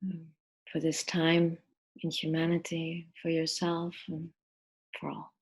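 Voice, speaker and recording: A woman leading a guided meditation in a soft, breathy, husky voice, gentle and deliberate, with pauses between phrases. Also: sits low, completely flat delivery, low-quality recording.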